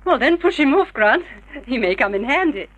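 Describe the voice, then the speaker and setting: A woman's voice: sits high, big pitch swings, moderate pace, fairly bright tone, muffled recording, heavily acted. A woman playing a sly, fun-loving socialite, arch and teasing, in a vintage radio drama. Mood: arch, amused.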